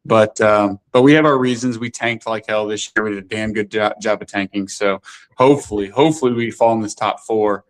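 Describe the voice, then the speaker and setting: Forties male guest, smooth low voice, rambling and halting delivery. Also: steady tone. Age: 40s. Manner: halting, many fillers and restarts. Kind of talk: casual podcast chat.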